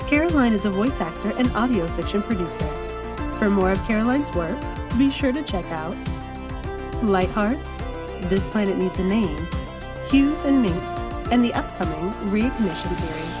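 A woman with a medium-pitched voice reads scripted credits for a podcast. Music is clearly heard behind her. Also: animated, normal speed. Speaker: credits reader.

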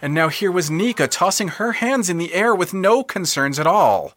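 A man giving scripted, literary narration for an audio drama in a dramatic, expressive manner. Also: high voice, moderate pace, no room sound.

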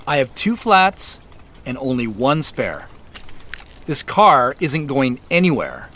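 An actor playing a stranded, exasperated office worker pleading for help, halting with dramatic pauses, in an audio drama. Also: male voice, mid-range voice, very animated, a bit bright.

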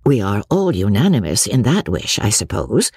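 A sensible, composed young woman from a classic novel, voiced by a woman reader. She reasons with gentle irony in a low voice with lively intonation, recorded close and dry. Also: normal speed, husky, dry recording, full character voice. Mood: gently practical. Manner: reasoned, wry.

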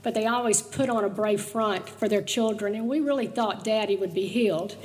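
An older woman talks to an audience, chatty and quick to laugh, her voice a touch raspy. She sounds like she is in a large hall with a long reverb and a faint hiss behind her. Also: medium pitch, darkish tone. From Mississippi, US South. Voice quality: slightly raspy from a recovering voice. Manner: chatty, storytelling, laughs easily. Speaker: guest speaker sharing her testimony. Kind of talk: personal testimony talk.

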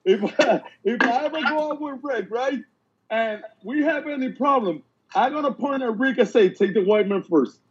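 High, husky man from Puerto Rico. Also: normal speed, lo-fi sound. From Puerto Rico, raised in the mainland United States. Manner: repeats himself, earnest, insistent. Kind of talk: casual podcast chat.

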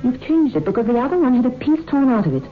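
A woman's voice: sits high, big pitch swings, quick pace. An actress playing a bold, probing young woman reporter, challenging and insistent, in 1960s radio suspense style. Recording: noisy, tinny old radio recording.

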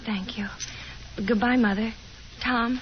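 A faintly noisy, dull 1950s radio broadcast of a shy young daughter. She speaks in a high voice, timid and hesitant, like someone fragile. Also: steady tone, takes it slowly. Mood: flustered. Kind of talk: 1950s radio drama acting.

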